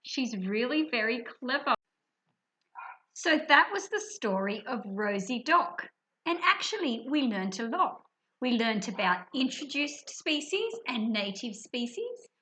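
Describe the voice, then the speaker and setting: A woman presenting an explanatory lesson for children, expressive and stressing key words. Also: fairly high pitch, a little slow, low-quality recording. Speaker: teacher presenting a reading lesson.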